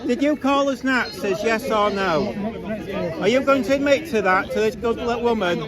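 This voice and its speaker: A man's voice: very high voice, normal speed. Indignant man over crowd noise. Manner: indignant, complaining, rambling restarts. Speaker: protester filming a street confrontation. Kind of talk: heated street argument. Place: outdoor city street at a protest. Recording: street recording with crowd noise. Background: loud protest crowd.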